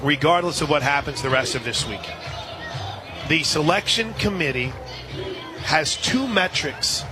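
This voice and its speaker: An American man calling live softball play-by-play on the radio, slipping into conversational asides between pitches. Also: lively intonation, a little slow, long pauses, over music. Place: outdoor softball stadium. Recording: noisy outdoor stadium broadcast, loud crowd. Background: crowd and stadium noise.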